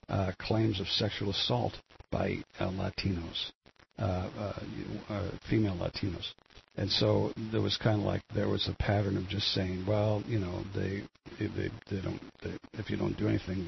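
An older man with a gravelly voice, hosting a rambling solo commentary on the news, full of fillers and restarts. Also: fairly low pitch. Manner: meandering, many fillers and restarts. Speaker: solo show host. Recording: dull lo-fi with faint noise.

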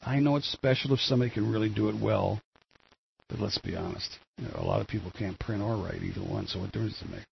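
An older man with a gravelly voice, hosting a rambling solo commentary on the news, full of fillers and restarts. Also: on the low side, fast. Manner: meandering, many fillers and restarts. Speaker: solo show host. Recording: dull lo-fi with faint noise.

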